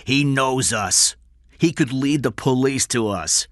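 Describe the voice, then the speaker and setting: A man's voice: medium pitch, takes it slowly, a bit bright, no echo, studio quality, pitched above the performer's own voice. A man voicing a violent, hard-hearted male kidnapper in an audiobook thriller, threatening and sneering. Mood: sneering menace.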